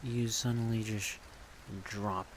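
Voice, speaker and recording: A man talking softly in a casual, halting ASMR ramble. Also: on the low side. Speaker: ASMR creator rambling about a project. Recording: steady rain-like background noise.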